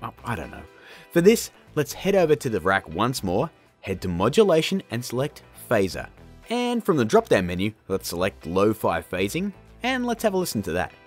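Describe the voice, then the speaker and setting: A man in his thirties hosting a casual software tutorial in an informal, jokey and emphatic way. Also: medium pitch, huge pitch swings, normal speed, soft background music. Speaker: video tutorial host.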